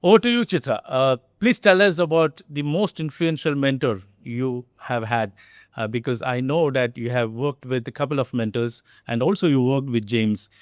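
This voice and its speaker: A man's voice: mid-range voice, moderate pace, no echo, clean studio sound. A middle-aged man hosting a business podcast, introducing guests earnestly with many "uh" fillers. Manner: earnest, with frequent "uh" fillers and "really". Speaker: podcast host, company CEO.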